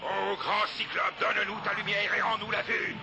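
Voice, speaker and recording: A creaky, chanting blind high priest. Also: male voice, completely flat delivery, moderate pace. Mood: invoking. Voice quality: creaky, intoning, declamatory. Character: fanatical blind old high priest, male. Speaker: cult leader of the Cyclops worshippers. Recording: loud music behind, voice from afar.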